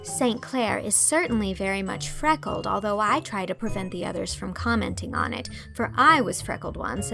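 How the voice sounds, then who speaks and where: An actress playing an amused, articulate young schoolteacher narrating a letter to a friend in an audio drama. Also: female voice, medium pitch, creaky, a bit bright.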